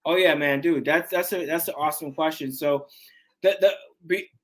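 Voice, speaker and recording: Fitness trainer guest, man in his 40s, slightly high voice, animated and loose, keeps restarting. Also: normal speed. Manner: animated, restarts often, says man and dude. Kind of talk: casual interview chat.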